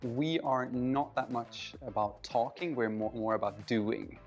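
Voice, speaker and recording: A man's voice: medium pitch, measured pace. Swedish-accented man chatting casually. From Sweden. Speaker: panel participant. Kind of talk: casual group chat. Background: music.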